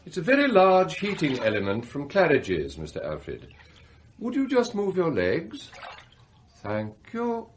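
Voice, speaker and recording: An actor playing a formal, measured butler in a radio drama, smooth, scheming and bitterly envious. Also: male voice, fairly high pitch, wildly swooping pitch, slight background noise. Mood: deferential. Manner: formal, measured, deferential to employers. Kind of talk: radio drama acting with confiding monologue.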